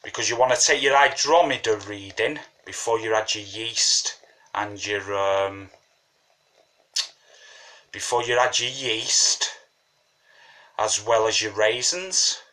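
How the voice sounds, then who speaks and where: A man with a slight cold walks through his recipe at a slow, unhurried pace. His voice has a bright, forward edge, and he keeps up a loose, chatty patter full of asides. He sounds like he is talking at his kitchen table while he works. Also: on the low side. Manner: chatty, rambling, says "you know what I mean". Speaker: home brewing hobbyist. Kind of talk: casual how-to tutorial.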